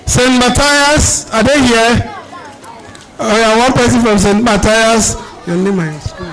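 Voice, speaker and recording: A man with a Nigerian accent hosting a live event for schoolchildren, speaking in a brisk, directive way and repeating his commands. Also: very high voice, fairly even intonation, low-quality recording. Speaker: event host, master of ceremonies. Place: outdoor cricket ground. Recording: outdoor event with loud crowd chatter. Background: crowd noise.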